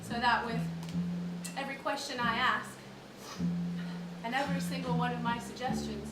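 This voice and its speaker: High-voiced woman in a theatre monologue. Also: flat delivery, moderate pace, loud music behind the voice. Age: 30s. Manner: storytelling with laughs, mimicking customers. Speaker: solo stage performer. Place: theatre stage with live audience. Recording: roomy theatre sound from a distance. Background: upright bass, audience laughter.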